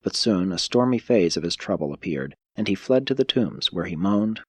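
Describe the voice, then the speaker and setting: A man reading a religious audiobook as scripted narration, in a measured, solemn storytelling manner. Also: sits low, expressive, slightly rough, dry recording.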